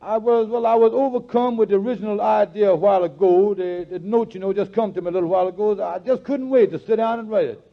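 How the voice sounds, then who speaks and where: An actor playing a scheming, blustering middle-aged husband who stammers in folksy grammar, in 1940s radio comedy style. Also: male voice, high-pitched, quick pace, hardly any pauses. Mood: smooth-talking. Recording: muffled vintage 1940s radio broadcast.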